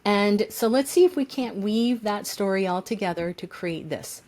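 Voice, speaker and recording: A woman's voice: mid-range voice, expressive, moderate pace, a little mellow. A woman co-hosting a podcast, reading a guest introduction and talking in an effusive, praising way. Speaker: co-host.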